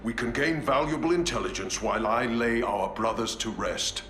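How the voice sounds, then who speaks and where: An actor playing an honorable, stern space marine commander who speaks formally and gravely. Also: male voice, mid-range voice, moderate pace, fairly bright tone, close to an everyday voice. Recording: echoey game audio with music.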